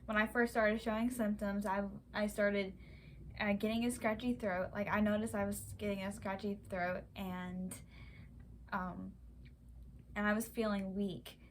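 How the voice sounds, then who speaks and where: A young woman vlogger telling a personal story in a halting way, with many fillers and restarts. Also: medium pitch, level tone, slight background noise. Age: young adult. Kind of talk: casual personal account.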